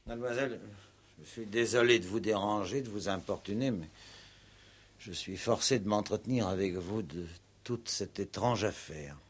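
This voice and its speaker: Courteous, husky police commissioner. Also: male voice, on the low side. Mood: polite insistence. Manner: polite, measured, questioning. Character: patient, courteous, probing male police commissioner. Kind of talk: 1960s French radio play acting.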